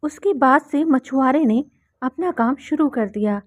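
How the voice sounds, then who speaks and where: A woman's voice: sits high, a little slow, no echo, lo-fi sound. A woman reading scripted narration for a children's cartoon story.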